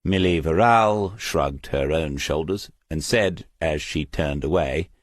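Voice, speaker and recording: A man with an extremely low, husky voice narrates a crime novel in a measured storytelling manner. The recording is dry and close, with very little room around the words. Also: dry recording. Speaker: audiobook narrator.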